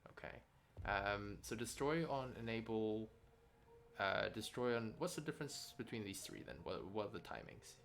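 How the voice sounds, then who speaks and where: A man learning software in a casual walkthrough, tentatively thinking aloud and checking his understanding. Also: lowish voice, expressive, moderate pace, slight background noise.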